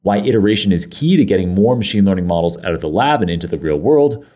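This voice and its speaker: A man's voice: deep voice, animated, quick pace, mellow. A man hosting a tech podcast, reading an enthusiastic scripted introduction and then chatting casually with his guest. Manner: enthusiastic and effusive. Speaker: podcast host. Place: home studio, guest on a remote call.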